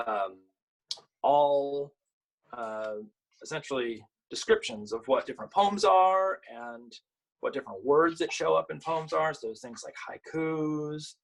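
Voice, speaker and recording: A man with a slightly husky voice, an author and illustrator sharing picture books at a virtual storytime in an informal way. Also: a little high, low-quality recording. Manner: informal, halting when chatting. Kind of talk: virtual storytime, reading aloud and chatting about the books.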